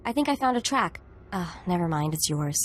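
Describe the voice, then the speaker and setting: A woman's voice: lowish voice, lively intonation, fairly quick, a little hiss or hum, natural voice. A woman voicing an eager but hesitant companion in a video game, candid about doubts. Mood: uncertain. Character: unsure, eager female companion on a trail. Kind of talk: dramatic video game dialogue. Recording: faint game music behind the voice.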